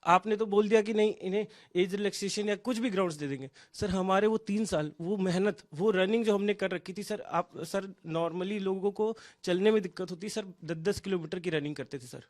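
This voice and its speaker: High-pitched, pleading young man. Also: brisk, few pauses. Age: 20s. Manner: pleading, insistent, repeats himself. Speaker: job candidate interviewed by news. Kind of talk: impassioned spoken complaint to reporter.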